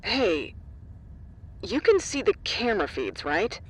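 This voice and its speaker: An actor playing a brisk, defiant male facility staffer in audio drama dialogue, speaking in a clipped, blunt way. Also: high voice, sing-song, fairly bright tone, husky, exaggerated voice, poor audio. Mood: urgent, conspiratorial.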